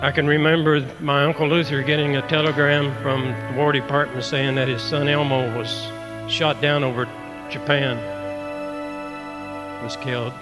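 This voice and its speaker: Elderly rural American man, flat delivery. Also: fairly high pitch. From rural United States. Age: 80s. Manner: halting, grave storytelling. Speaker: war veteran. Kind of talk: personal reminiscence. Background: music.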